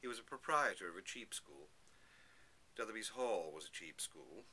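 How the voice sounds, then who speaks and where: A man's voice: lowish voice, animated, moderate pace, sharp tone, slightly rough. A man giving a dramatic, emphatic reading of a Victorian literary lecture in an oratorical manner. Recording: hissy, noisy recording.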